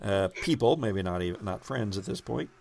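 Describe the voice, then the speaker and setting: A man acting as game master and host, improvising narration and explaining rules in a halting, thinking-aloud way. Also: fairly low pitch, expressive, creaky, a little mellow, a little hiss or hum.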